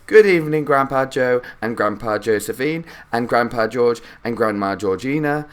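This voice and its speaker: A man's voice: medium pitch, lively intonation, normal speed, pitched above the performer's own voice, lightly acted. A man voicing a curious, polite little boy in a children's story, earnest and questioning. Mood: cheerful greeting.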